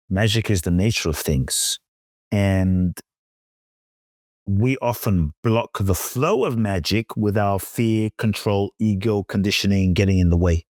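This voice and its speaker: Low-voiced man, measured and emphatic. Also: darkish tone, no echo, clean studio sound. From London, England. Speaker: guest, spiritual teacher and author. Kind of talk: reflective storytelling in an interview.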